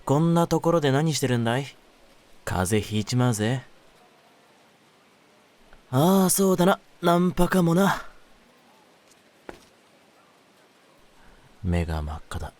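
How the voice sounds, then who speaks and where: A man playing a world-weary, lonely young mafioso in an intimate roleplay audio, speaking in a low voice with rough streetwise phrasing. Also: very animated, normal speed, long pauses, close to an everyday voice. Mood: teasing, casual. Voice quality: low-pitched voice. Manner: rough, streetwise masculine speech, brooding and soft-spoken. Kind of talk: intimate Japanese situation-voice roleplay, ASMR-like.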